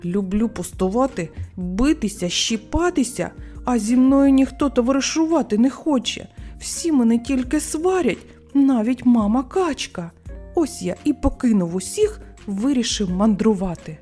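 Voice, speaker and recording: A woman's voice: a little high, lively intonation, moderate pace, dark tone, soft background music, natural voice. A woman voicing a boastful, quarrelsome little male duckling in a children's storybook tale. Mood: sulky, defiant. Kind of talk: storybook character voice.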